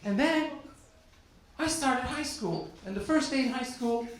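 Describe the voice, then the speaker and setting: A man in his forties from Turkey with a Turkish accent, doing stand-up comedy and chatting with the crowd in a lively, teasing way. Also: very high voice, measured pace. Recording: very echoey, noisy room.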